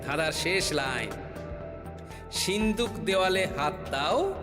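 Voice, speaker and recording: A clever, kindly cartoon jester, talks in a high, swooping voice with confidence. He explains things in an animated way, with hall reverb and music behind him. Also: unhurried. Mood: inquisitive, kindly. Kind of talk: cartoon voice acting.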